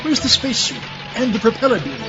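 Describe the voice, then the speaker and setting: Man playing a high-voiced, petty mad scientist. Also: big pitch swings, fast, lo-fi sound. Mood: scornful. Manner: theatrical, grandiose, indignant. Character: pompous, petty mad scientist obsessed with his rival. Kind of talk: comedic audio drama acting. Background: music.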